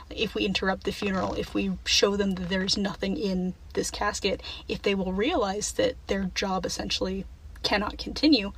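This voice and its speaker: A woman giving a measured spoken argument in a listener's voice message. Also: medium pitch, a little hiss or hum, poor audio.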